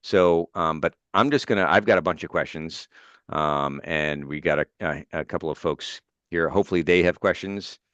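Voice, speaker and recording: An American man hosting informally as a student adviser, talking in a halting, appreciative way. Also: sits low, fairly bright tone, clean tone, no room sound, muffled recording. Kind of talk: informal spoken introduction and questions.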